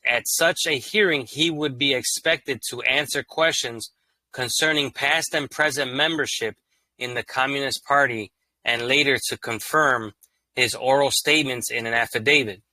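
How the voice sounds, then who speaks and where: Man, channel host, monotone reading of court cases, casual slangy asides, dry close sound. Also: medium pitch, normal speed, no echo, rough recording. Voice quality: monotone, by his own account. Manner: reads legal text evenly, then breaks into loose slangy asides. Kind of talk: casual read-aloud commentary.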